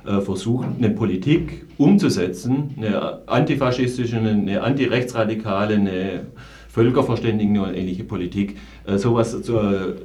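A man's voice: on the low side, normal speed, dark tone. Earnest man in a political discussion. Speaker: political activist interview guest.

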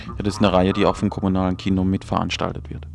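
A man presenting local radio, giving event announcements in a matter-of-fact, deliberate way. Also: low-pitched, completely flat delivery, creaky, soft background music.

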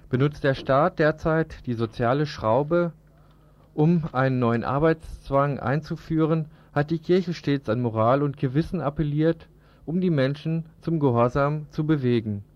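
A man's voice: fairly high pitch, darkish tone. A man reading a scripted radio report as presenter, in a formal manner. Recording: slightly dull lo-fi radio recording.

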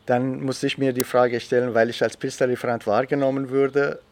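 A man recounting a personal experience in an interview, halting and repeating phrases as he goes. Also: mid-range voice, little pitch movement. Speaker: education expert and trainer.

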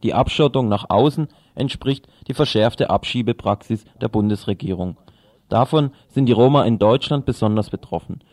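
Man, radio news presenter, scripted reading, dark relaxed timbre, very dry sound. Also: medium pitch, moderate pace, no echo.

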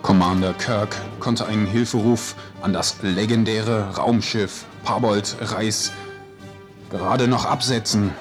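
A man tells a mock-epic tale in a grand, solemn manner. His voice sits very low and he takes his time, while music plays clearly underneath. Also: steady tone, slow pace. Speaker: narrator. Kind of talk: mock-epic radio parody narration.

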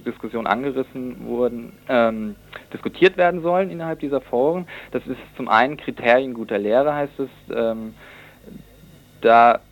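A young man answers in an informal radio interview as a student activist. His speech is halting and hesitant, often searching for the next word. His voice sits at an average pitch. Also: phone-quality sound. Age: young adult.

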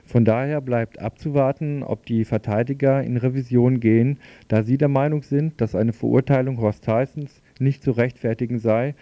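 A man delivers radio news in a measured presenter's register, at a middling pitch. The sound is very dry and close, on a slightly muffled lo-fi radio recording. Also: no room sound. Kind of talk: scripted radio news report.

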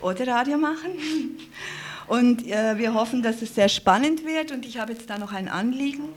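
A woman's voice: fairly high pitch, moderate pace. A woman presenting live in a radio studio, speaking in an earnest, wordy way and often correcting herself. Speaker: radio presenter and editorial team member.

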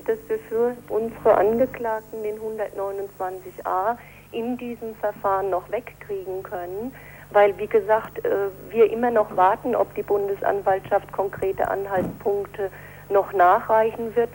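A woman speaking as a defence lawyer in an interview, explaining things in a measured, formal legal way. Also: medium pitch, fairly even intonation, a little mellow, slight background noise, poor audio. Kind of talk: spoken interview answers.

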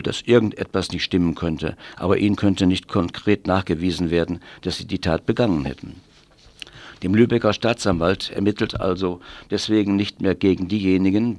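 A man's voice: sits low, dry recording. A man presenting scripted news commentary on the radio, with pointed, sardonic wording.

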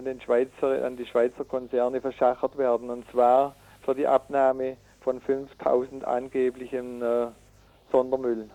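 A man being interviewed as an environmental activist, speaking haltingly with frequent hesitations and self-corrections, in an indignant, emphatic way. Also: medium pitch, mellow, rough recording. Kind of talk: radio interview, reading out figures and arguing.

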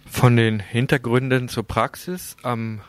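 A man's voice: medium pitch, steady tone, a little slow, music in the background. Male radio presenter making an announcement. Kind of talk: radio news announcement, partly off the cuff.

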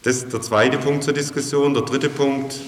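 A man's voice: mid-range voice, steady tone, moderate pace. Male speaker, formal meeting address, long hall reverb, faint hissy tape noise. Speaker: activist defence lawyer.

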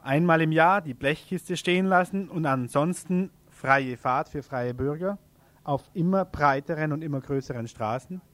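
Higher-pitched man presenting radio announcements. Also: moderate pace, fairly bright tone, dry recording. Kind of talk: reading local event announcements.

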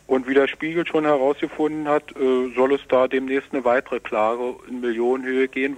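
A man's voice: medium pitch, no room sound, poor audio. A man giving explanatory interview answers in formal, legalistic wording, hesitating often. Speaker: newspaper representative being interviewed.

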